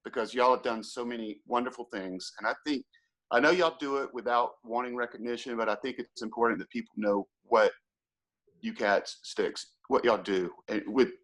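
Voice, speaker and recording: A man with a Southern American accent hosts a relaxed conversation in a voice of ordinary middle pitch. He talks in an easygoing, chatty way and openly shows his admiration for his guest. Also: normal speed, poor audio. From Georgia, USA. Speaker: host. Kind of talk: casual interview.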